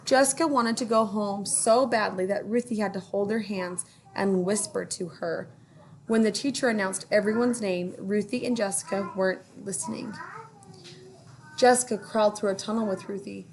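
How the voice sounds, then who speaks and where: Gentle teacher reading a picture book. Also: female voice, medium pitch, a little hiss or hum. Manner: gentle, pauses to ask young listeners questions. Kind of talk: picture book read-aloud for children.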